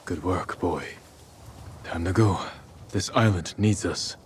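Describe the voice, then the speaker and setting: A man acting a stoic, protective samurai in a video game, speaking in a solemn, measured way. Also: deep voice, a little hiss or hum. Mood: gentle resolve.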